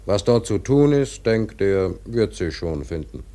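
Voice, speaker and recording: An actor playing a resigned, easygoing seaman in a radio play, musing in a halting, understated way. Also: fairly low pitch, very animated, dark tone. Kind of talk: literary radio play monologue.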